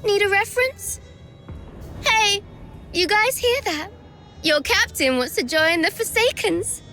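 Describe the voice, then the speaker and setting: A woman voicing a treacherous young villainess who taunts sarcastically and gleefully at a slow, theatrical pace. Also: high voice, expressive, fairly bright tone, exaggerated voice. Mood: mocking. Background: faint music.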